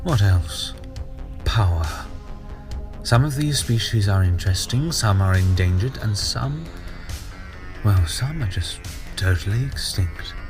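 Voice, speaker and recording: Male actor as campy, sadistic alien collector for audio drama; low, unhurried, theatrically mocking. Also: expressive, over music. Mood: gloating. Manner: theatrical, mocking, drawn-out delivery.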